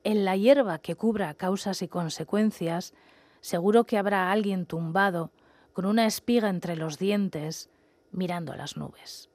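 A woman reading scripted text and verse aloud in a measured, narrated style, recorded in a studio. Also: mid-range voice, darkish tone, dry recording.

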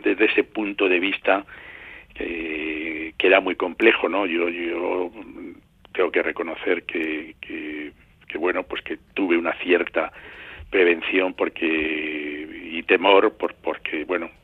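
A middle-aged or older man from Madrid with a Castilian accent, answering radio interview questions in a halting, measured way, searching for words. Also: sits low, fairly even intonation, slightly rough, dry recording. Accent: Castilian Spanish from Madrid. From Madrid, Spain. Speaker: journalist and novelist, interview guest. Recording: muffled phone line into radio show.

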